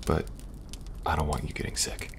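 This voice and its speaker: A man playing a calm, protective young special agent in an ASMR audio roleplay, speaking softly and reassuringly with dry humor and a gravelly, at times breathy voice. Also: moderate pace, close to an everyday voice. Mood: gentle, reassuring. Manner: soft, hesitant, reassuring, dryly humorous. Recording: audible background noise, ambience.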